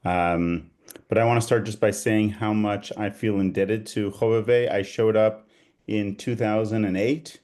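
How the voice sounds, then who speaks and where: A rabbi from Israel with a mostly American-sounding Israeli accent, giving an informal talk over a video call, pausing often with "um" and "uh". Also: male voice, fairly low pitch, fairly even intonation, a little mellow. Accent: Israeli, with an American tinge. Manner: hesitant, with many fillers and restarts. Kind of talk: informal talk to a small group.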